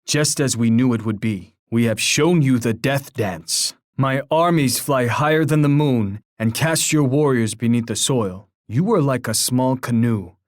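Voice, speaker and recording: An actor playing a proud, warlike chief in a video game, speaking in solemn, formal, poetic oratory. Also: male voice, mid-range voice, unhurried, hardly any pauses, no echo, clean studio sound, natural voice. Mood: boastful, triumphant. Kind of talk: scripted video game character voice lines.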